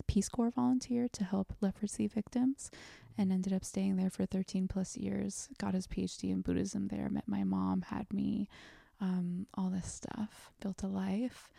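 A young woman from South Korea, speaking as an interview guest in a soft, thoughtful way with frequent pauses. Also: medium pitch, vocal fry, no echo, studio quality. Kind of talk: reflective interview talk.

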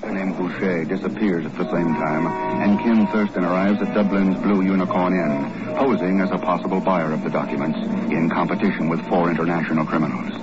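A man's voice: sits low, fairly even intonation, fairly quick, hardly any pauses. A man giving scripted narration for a 1950s radio drama. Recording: muffled 1950s radio broadcast. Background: loud music.

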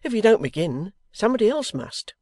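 A woman's voice: mid-range voice, sing-song, fairly quick, mellow, no echo, pitched above the performer's own voice. A woman voicing a jovial, proud old husband with hearty, folksy speech in an audiobook. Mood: jolly, urging.

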